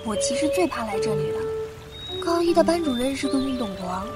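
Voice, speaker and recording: A woman acting a lively young university student in a Chinese radio drama, with a youthful, chatty way of talking. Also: high-pitched, a little fast. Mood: reminiscing. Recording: music behind the voice.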